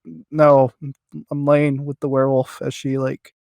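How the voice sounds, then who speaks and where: A man's voice: mid-range voice, completely flat delivery, darkish tone, no echo. Hesitant male podcast interviewer, close dry sound. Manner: hesitant, halting, often says like. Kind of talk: casual chat.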